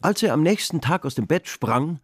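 A man narrating a literary radio drama in a measured, deliberate storytelling way. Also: on the high side, expressive, slightly rough, no echo. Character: male narrator of a 19th-century novel, measured storyteller. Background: music behind the voice.

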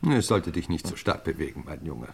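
An actor playing a dignified, older English lord and uncle in a German radio crime play, formal and easily indignant. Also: male voice, extremely low pitch, sing-song, brisk, vocal fry, breathy edge, full character voice. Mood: soothing. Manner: formal, genteel, protesting.

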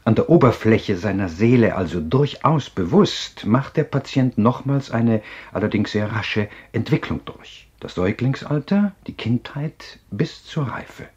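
A man playing a self-assured psychiatrist in a radio drama, explaining in a measured, courteous, husky lecture. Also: lowish voice, lively intonation, darkish tone, muffled recording. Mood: assured, explanatory. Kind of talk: German radio drama acting.

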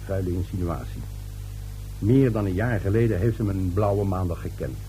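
A man's voice: low voice, moderate pace, dark tone, soft background music, close to an everyday voice. An actor playing a domineering, dismissive middle-aged father in a radio play, brusque and scoffing. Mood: irritated, brusque.

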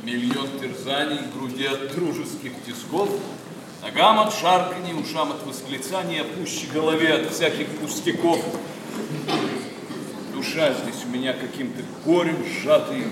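A man's voice: mid-range voice, normal speed, recorded from a distance, close to an everyday voice. A man playing an impassioned, disillusioned young nobleman in a verse radio play, declamatory and sarcastic. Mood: anguished. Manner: declamatory, sarcastic, mimics people he mocks. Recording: noisy, echoing theatre hall from afar.

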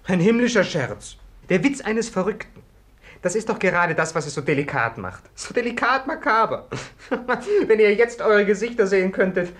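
Affected high-voiced young man. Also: lively intonation, moderate pace, a bit bright. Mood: mocking, gleeful. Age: young adult. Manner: affected, gushing, melodramatic. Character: flippant, excitable, morbidly playful young man. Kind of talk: 1950s radio play acting.

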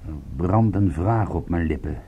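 An actor playing a wry, wary private detective in a radio drama, speaking in a dry, measured way. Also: male voice, sits low, phone-quality sound, slight background noise, close to an everyday voice. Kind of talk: Dutch radio drama acting.

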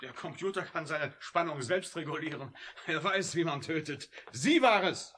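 An actor playing an embittered, sardonic computer scientist with a strong moral streak in a German radio drama, speaking emphatically. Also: male voice, a little high, lively intonation, moderate pace, a bit bright, a little hiss or hum. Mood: accusing. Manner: emphatic, volatile, pointed.

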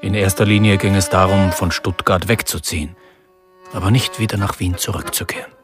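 An actor as a laconic man in a radio crime drama. His deep voice drops in offhand remarks, while music plays clearly behind him. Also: steady tone, brisk, natural voice. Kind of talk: radio play aside.

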